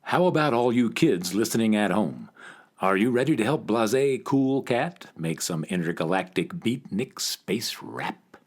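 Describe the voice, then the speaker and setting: A man's voice: lowish voice, animated, measured pace. A man playing a friendly radio announcer, narrating a children's radio play to listeners at home. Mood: inviting.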